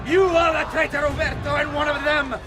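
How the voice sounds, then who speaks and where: An actor playing a defiant condemned father in a video game, loud and impassioned as he denounces his betrayer. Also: very high voice, fairly even intonation, fairly quick. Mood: defiant, outraged. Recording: loud crowd and music over voice.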